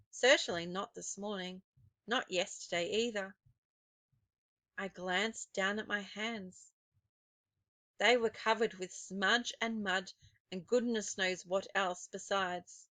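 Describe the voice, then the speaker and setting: A woman narrating a children's story expressively, with dramatic, deliberate phrasing. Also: mid-range voice, bright, forceful tone, dry recording.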